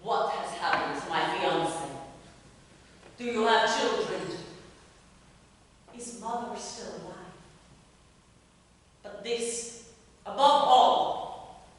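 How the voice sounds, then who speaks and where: Echoing, slow, vengeful woman played by an actress. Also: mid-range voice, close to an everyday voice. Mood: menacing, demanding. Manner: menacing, deliberate, theatrical. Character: bitter, vengeful woman confronting her betrayer before killing her. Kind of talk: dramatic stage monologue reading. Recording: echoey hall, distant mic, faint noise.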